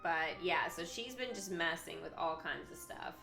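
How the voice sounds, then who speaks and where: A woman streamer reading character profiles aloud and breaking into chatty, excitable asides to her viewers. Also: on the low side, normal speed, vocal fry, soft background music. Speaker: live streamer.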